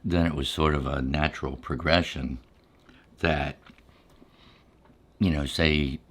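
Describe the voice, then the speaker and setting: Middle-aged male, extremely low voice, halting and reflective, casual ASMR storytelling over a meal. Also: fairly even intonation, a bit bright, slightly rough. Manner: unhurried, halting, reflective recollection.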